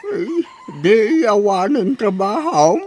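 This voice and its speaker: A man's voice: sits high, big pitch swings, slow pace, darkish tone, heavily acted. A man with an Ilocano accent playing a stern, hurt old father-in-law in a radio drama. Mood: worried.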